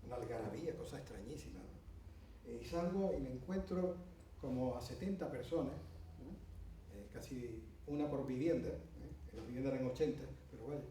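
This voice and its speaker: A man's voice: medium pitch, mellow, slight background noise. A middle-aged man with an Andalusian accent, giving a conference talk and telling a long anecdote with many asides and fillers. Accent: Andalusian Spanish. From Andalusia, Spain. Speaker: speaker at a conference. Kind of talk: storytelling talk to an audience. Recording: roomy hall heard from a distance.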